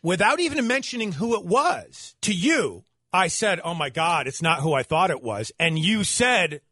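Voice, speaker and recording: Bright, high-voiced male radio host. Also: sing-song, normal speed, no room sound, clean studio sound. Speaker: radio morning show co-host. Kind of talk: lively radio banter and storytelling.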